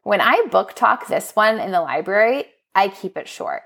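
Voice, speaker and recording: Expressive woman hosting a book podcast. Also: mid-range voice, normal speed, creaky. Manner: enthusiastic, expressive. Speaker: podcast host; school librarian. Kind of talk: book recommendation podcast, prepared narration.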